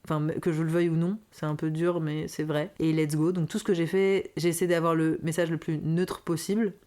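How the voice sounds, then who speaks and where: A young woman with a strong Parisian accent and a notably low voice tells her story in a loose, chatty way. She fills her talk with little hesitations and slips into voices when quoting people. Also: fairly quick. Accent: Parisian French. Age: 20s. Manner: animated, many fillers, acts out quoted lines. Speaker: singer and streamer guest. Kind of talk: casual conversational interview.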